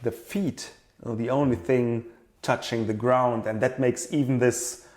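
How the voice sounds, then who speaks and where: A man being interviewed as a product designer, explaining his work in a thoughtful, halting way and often repeating words as he goes. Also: mid-range voice, steady tone, darkish tone.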